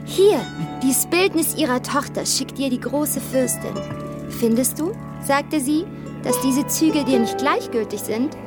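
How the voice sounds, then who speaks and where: A woman's voice: high-pitched, a little slow. An actress playing a stern, lofty lady of a queen's retinue in a theatrical radio play, speaking in a ceremonial, commanding way. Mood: solemn. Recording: music behind the voice.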